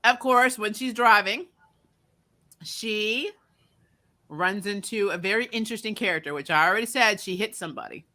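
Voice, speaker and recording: A woman's voice: medium pitch, normal speed, pushed and bright. A woman co-hosting a casual review chat, animatedly retelling things and acting out quotes. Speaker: review show co-host.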